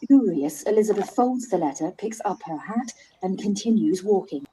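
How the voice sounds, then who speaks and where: A woman's voice: medium pitch, expressive, normal speed, warm tone, slight background noise, low-quality recording. A woman reading the stage directions as narrator of a radio play.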